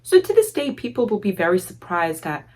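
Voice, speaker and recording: A woman's voice: medium pitch, big pitch swings, fairly quick, darkish tone. A young woman with an Armenian accent telling a personal story in a casual vlog, choosing formal, elaborate words but often letting them tumble out impulsively, with the odd "like". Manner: formal, elaborate diction with filler "like". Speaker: vlogger.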